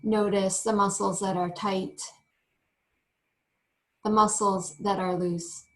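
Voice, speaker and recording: Woman, meditation teacher, mid-pitched, very unhurried, gentle guiding phrases, frequent pauses. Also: flat delivery. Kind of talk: guided meditation.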